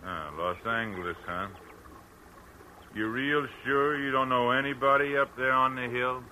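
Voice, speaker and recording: An actor playing a big, surly, suspicious farmhand in a folksy, threatening 1940s radio style, on an old recording. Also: male voice, mid-range voice, a little slow, pushed and bright, slight background noise, rough recording. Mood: prying.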